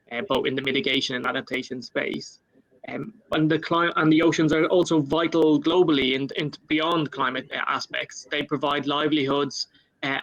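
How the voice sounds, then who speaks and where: A man with an Irish accent answering a panel question for his government, measured, with many fillers and restarts, over a video call. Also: mid-range voice, creaky, fairly bright tone, highs cut off. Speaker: panel speaker for a national government.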